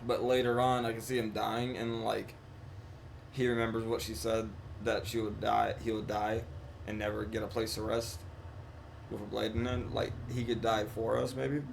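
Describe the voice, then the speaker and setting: A man's voice: fairly low pitch, flat delivery, moderate pace, gravelly, a little hiss or hum. A man chatting casually on a live game stream, rambling and slangy as he talks through his thoughts. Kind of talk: casual live stream chat recapping and theorizing. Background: game music.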